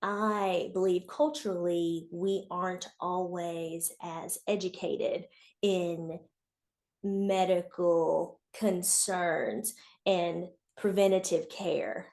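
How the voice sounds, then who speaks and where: Young woman nurse, low-ish even voice, measured. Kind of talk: interview answers. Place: home room. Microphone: video call webcam.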